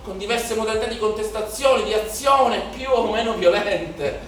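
A man's voice: sits high, normal speed. Echoey, declamatory actor as haunted male protester. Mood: elated, rising. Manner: declamatory, incantatory repetition, swelling from hushed to shouted. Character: young male protester, impassioned, haunted, recounting street riots. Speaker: stage actor performing a monologue. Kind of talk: theatrical dramatic monologue in Italian political theatre. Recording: very echoey theatre, distant mic, noisy.

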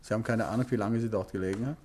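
An actor playing a composed gentleman detective in a 1950s radio play, speaking in a calm, measured and quietly ironic way. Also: on the low side, lightly acted.

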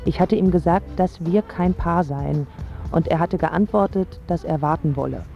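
A woman's voice: fairly low pitch, normal speed, vocal fry, highs cut off, soft background music. An actress playing a passionate, wounded young woman in love, in a radio drama with first-person narration. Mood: wistful narrating.

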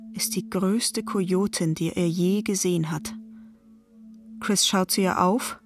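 Slow, measured woman telling a dramatic story. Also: mid-range voice. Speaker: narrator of an audio drama. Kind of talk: scripted dramatic narration.

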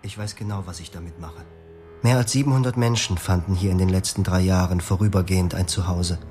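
A man narrating a radio drama in the first person, quiet, grave and measured. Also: low-pitched, fairly even intonation, soft background music.

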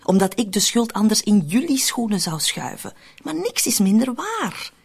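An actress playing a cunning, cold woman in a radio drama, persuasive and controlled, with a husky, often breathy voice. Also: mid-range voice, big pitch swings, moderate pace, heavily acted. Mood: insistent, defensive. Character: cunning, cold, manipulative woman plotting to shift murder blame. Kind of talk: Dutch-language radio drama acting.

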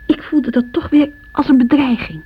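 A woman's voice: high voice, fairly even intonation, normal speed, phone-quality sound, slight background noise, lightly acted. An actress playing an insecure, wounded woman in an intimate, reflective radio drama monologue. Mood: resentful.

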